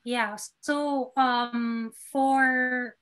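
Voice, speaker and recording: A young woman with a Filipino accent speaks as a guest in a casual interview. Her voice sits a little high, and she feels her way through sentences with hesitant phrasing. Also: steady tone, extremely slow, fairly bright tone. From Manila, Philippines. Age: young adult. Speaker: interview guest, newly matched doctor.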